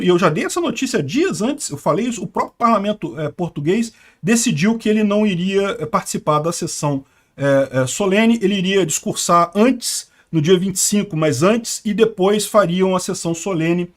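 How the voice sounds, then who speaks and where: A Brazilian man giving sarcastic, indignant political commentary. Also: sits high. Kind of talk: opinionated news commentary.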